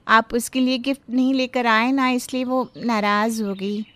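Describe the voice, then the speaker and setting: A woman's voice: fairly high pitch, fairly even intonation, normal speed, a bit bright, a little hiss or hum, lightly acted. A woman voicing an earnest young mother who stands up firmly for her daughter. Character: young mother, hurt but determined wife.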